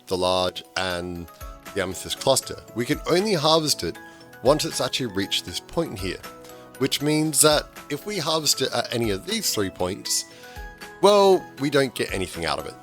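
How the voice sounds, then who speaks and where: A man, slightly hoarse because he is losing his voice, talking through a game-building tutorial in a chatty way with frequent restarts. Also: medium pitch, a bit bright. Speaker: gaming tutorial host. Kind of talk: casual game tutorial voice-over.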